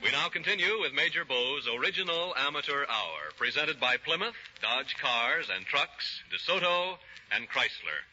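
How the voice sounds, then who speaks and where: An American man announcing on 1930s radio, reading from a script in a formal, measured way. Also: fairly high pitch, big pitch swings, pushed and bright, faint music behind. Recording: dull, noisy 1930s radio recording.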